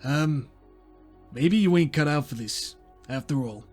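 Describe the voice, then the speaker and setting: Man playing a blunt, gruff little inquisitor. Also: fairly high pitch, unhurried, soft background music, voice pushed up. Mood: stern. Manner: blunt, folksy tough-guy talk. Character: gruff, short robot-like inquisitor officer, blunt and world-weary. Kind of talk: in-character roleplay in a tabletop game.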